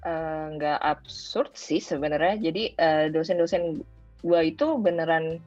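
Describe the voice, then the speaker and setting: A young woman with a Jakarta Indonesian accent talks casually as an interview guest. Her voice sits low for a woman, and she drops English phrases into her speech. The audio is dull and lo-fi, with faint music underneath. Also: a little slow, a little mellow, dry recording. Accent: Indonesian, Jakarta colloquial. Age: young adult. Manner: informal, mixes in English phrases. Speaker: guest, former overseas student.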